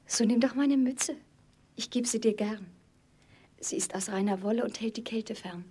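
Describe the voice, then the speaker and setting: Soft, breathy little girl. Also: on the high side, normal speed. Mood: caring. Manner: gentle, earnest storybook delivery. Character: kind, selfless poor little orphan girl. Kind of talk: fairy-tale audio play acting.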